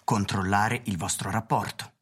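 An actor playing a grave, burdened world president in a radio drama, speaking with a low, husky voice in a measured, solemn way. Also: male voice, steady tone, a bit bright.

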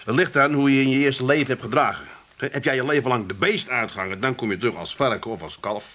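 A man's voice: mid-range voice, fast talker, fairly bright tone, natural voice. A man playing a sheepish, muddled working-class son in a comedy radio play. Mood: earnest, muddled. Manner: evasive, muddled.

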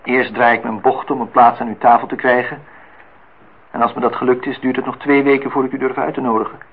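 A man's voice: medium pitch, flat delivery, a little fast, slightly rough. An actor playing a courting bachelor department head in his thirties in a radio play, formal, teasing and a little hesitant, in intimate dialogue. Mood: gallant. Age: 30s. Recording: tinny, noisy old radio play recording.

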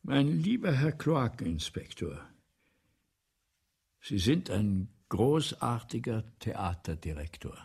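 An actor in a German radio play playing an anguished, fearful French playwright, theatrical and husky, swinging from hushed weariness to declamation. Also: male voice, medium pitch, big pitch swings, slow pace, heavily acted. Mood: resigned, grave. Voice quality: husky, hoarse, gravelly.

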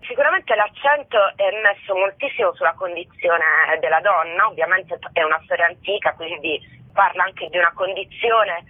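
A woman from southern Italy, a playwright and actress, talks as a radio guest in a rambling way, full of filler words and pauses to search for words. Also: fairly low pitch, breathy edge, no room sound. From Bari, southern Italy. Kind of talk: radio interview over the phone. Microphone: telephone. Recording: tinny phone line.

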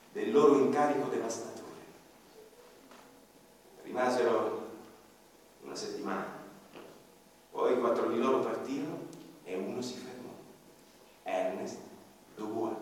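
A man narrates a radio play in a slow, theatrical way, now and then dropping into hushed low passages. His voice sits a little high. He is heard from a distance in a large hall with long, heavy reverb and faint room noise. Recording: echoey hall, from far off, faint ambience.

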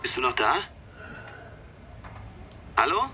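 An actor playing a practical, matter-of-fact police dispatcher who guides an officer remotely in a radio crime drama. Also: male voice, mid-range voice, sing-song, slow pace, bright, forceful tone, slight background noise, exaggerated voice. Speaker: police colleague guiding by radio link. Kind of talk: naturalistic German radio drama acting.

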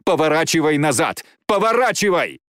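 A man's voice: on the high side, steady tone, slow pace, a bit bright, dry recording, studio quality, voice pushed up. A man voicing a defiant, passionate young woman who pilots a dragon, crying out intensely in an audiobook. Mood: desperate.

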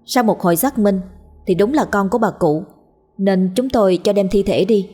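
A woman voicing a matter-of-fact female nurse who explains things in a measured way in a story. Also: medium pitch, mellow, breathy edge, close to an everyday voice. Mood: earnest, explaining.

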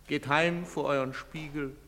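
Anguished father, slow and desperate. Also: fairly high pitch, fairly even intonation, a bit bright. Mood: bitter contempt. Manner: slow, grave, repetitive pleading. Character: anguished father pleading for his son, bitter, desperate. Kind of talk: theatrical tragic drama acting. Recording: echoey hall, long reverb.

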